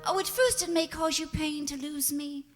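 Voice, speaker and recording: A woman plays a wounded young fiancée in a radio drama, high-voiced, earnest and resolute. Music plays clearly beneath the words, which carry a long reverb. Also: steady tone, normal speed, lightly acted. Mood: sad farewell. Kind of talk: radio play acting.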